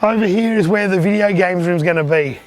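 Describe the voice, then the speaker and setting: Hoarse voice, man, fairly high-pitched, light music behind, casual vlog chatter. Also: fairly quick. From Australia. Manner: chatty, upbeat, pointing things out. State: lost voice, hoarse. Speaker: vlogger, arcade collector.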